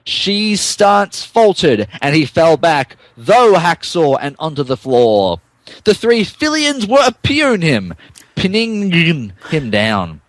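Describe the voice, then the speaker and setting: A man's voice: on the high side, wildly swooping pitch, takes it slowly, no echo. A man giving a dramatic comic reading of fan fiction as a podcast narrator, amused and tripping over the text.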